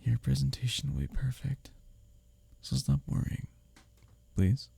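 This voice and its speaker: Casting: male actor as smitten workplace rival for intimate roleplay audio. Breathy, husky, dry close sound; teasing yet earnest. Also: mid-range voice, no room sound, exaggerated voice. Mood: reassuring. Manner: expressive, halting confessions with soft chuckles and sighs. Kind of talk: ASMR roleplay audio drama, spoken intimately to the listener.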